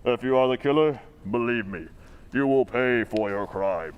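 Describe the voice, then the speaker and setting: Man playing a radio crime fighter, mid-pitched, firm, measured, commanding. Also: expressive, dull audio, over music, lightly acted. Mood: stern. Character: stern masked crime fighter. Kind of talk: 1940s radio acting.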